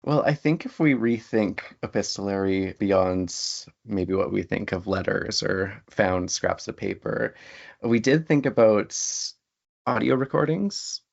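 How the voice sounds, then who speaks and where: A man with a gravelly, husky voice talking as a guest in a conversational interview. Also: lowish voice, lively intonation, measured pace. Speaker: interview guest, writer and academic. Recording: slightly dull, lo-fi audio.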